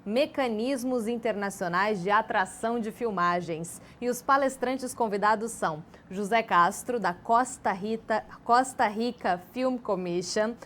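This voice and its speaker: A woman acting as the event announcer, bringing back the program and introducing the speakers in a clear, formal way. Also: on the high side, a little slow, hardly any pauses, fairly bright tone, slight background noise.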